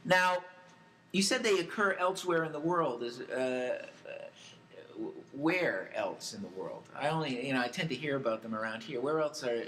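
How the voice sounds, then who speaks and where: Male talk radio interviewer, mid-range pitch, halting conversational questions, some noise on the recording. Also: expressive, a bit bright, rough recording. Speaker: radio talk show host.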